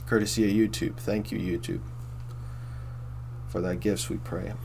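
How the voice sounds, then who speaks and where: Rambling baritone man, low-voiced host. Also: fairly even intonation, unhurried, darkish tone, slight background noise. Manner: rambling, repeats phrases, many fillers. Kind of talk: casual philosophy monologue to camera.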